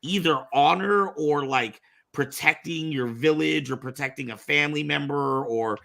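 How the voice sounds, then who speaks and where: Man, bright sharp tone, higher-than-average pitch, informal, rambling, podcast panel chat. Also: normal speed, gravelly.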